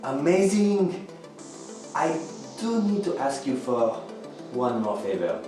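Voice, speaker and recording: An actor playing an affectionate, melancholy housemate in a radio thriller, speaking in a slow, theatrical way. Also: male voice, medium pitch, big pitch swings. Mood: warm, celebratory. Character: melancholy, affectionate young male housemate, gently teasing. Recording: roomy echo, music underneath.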